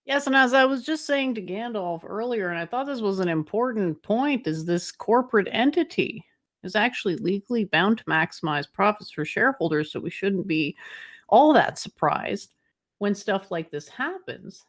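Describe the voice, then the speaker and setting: Deliberate woman commentator, medium pitch. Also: expressive. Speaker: co-host commentator. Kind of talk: informal news commentary.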